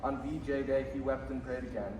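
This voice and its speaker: An actor playing a solemn heavenly narrator, telling the story in a measured, grave way in a stage radio play. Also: male voice, medium pitch, little pitch movement, a little mellow, a little hiss or hum, natural voice. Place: high school theater stage. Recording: echoey distant stage, faint music.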